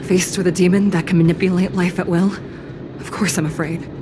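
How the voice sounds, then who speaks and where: A woman voicing a stoic, solemn judge with an artificial body in an anime-style game, formal, measured and composed. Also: fairly low pitch, flat delivery, over music, lightly acted. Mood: grave, resolute.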